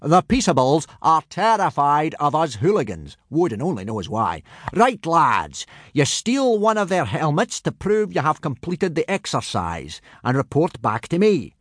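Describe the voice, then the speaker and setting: A man's voice: fairly high pitch, huge pitch swings, measured pace, few pauses, no room sound, studio quality, pitched above the performer's own voice, exaggerated voice. A man voicing a pompous, self-satisfied Viking warrior instructor in an audiobook, bellowing boastful commands. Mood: booming, commanding.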